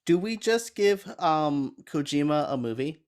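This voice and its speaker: A man's voice: fairly high pitch, animated, measured pace. Rambling man in casual geeky chat. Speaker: panelist on a film and gaming talk show.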